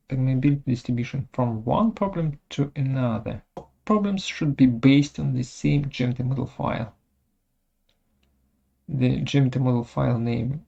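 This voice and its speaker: A presenter of unclear sex gives a step-by-step technical software demonstration in short, halting phrases, recorded as muffled webinar audio. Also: mid-range voice, creaky, husky.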